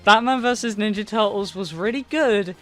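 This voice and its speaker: A man's voice: sits high, normal speed. A man co-hosting a casual chat show, talking enthusiastically with stumbling restarts. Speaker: podcast co-host.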